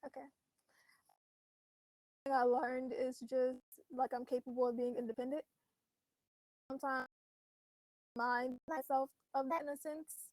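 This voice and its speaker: High, flat-voiced woman panelist. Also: measured pace, pauses often, no echo, poor audio.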